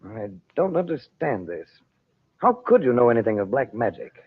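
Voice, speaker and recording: An actor playing an earnest, ardent hero and magician in an old-time radio drama. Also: male voice, medium pitch, big pitch swings, normal speed, breathy edge. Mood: concerned. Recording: thin, phone-like old radio recording.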